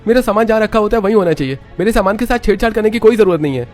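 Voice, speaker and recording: A man acts an angry young husband in an animated family story. The voice is pushed high and loud, with a harsh, scolding edge. It moves quickly over faint music. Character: hot-tempered young husband barking at his wife.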